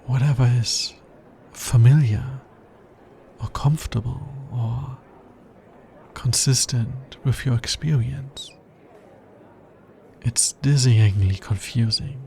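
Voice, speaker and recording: A man's voice: medium pitch, slow pace, vocal fry, long pauses, darkish tone, slight background noise. A man playing an anxious, smitten alien scientist in an intimate ASMR roleplay, with hesitant, earnest and precisely formal speech. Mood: precise, musing.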